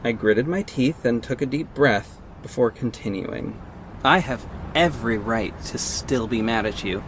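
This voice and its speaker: Male lead for superhero audio drama: actor plays guarded, sardonic vigilante, mid-pitched, terse, over noisy ambience. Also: close to an everyday voice. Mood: cold, irritated. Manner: measured, terse, exasperated. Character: guarded, sardonic costumed vigilante, heartbroken and stubborn. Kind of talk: first-person audio drama narration and dialogue.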